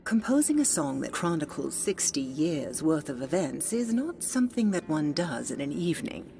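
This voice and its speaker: A soft-spoken blind older songstress. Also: female voice, low voice, very animated, vocal fry, heavily acted. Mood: gentle. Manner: soft, measured, often whispery and intimate. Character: blind older songstress, wise and gently teasing. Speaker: game character. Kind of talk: video game voice acting.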